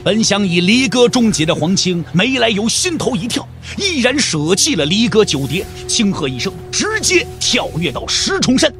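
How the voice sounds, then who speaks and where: A man's voice: sits high, big pitch swings, moderate pace, few pauses, a bit bright, soft background music. A man narrating a martial-arts audio drama in a dramatic, emphatic storytelling style.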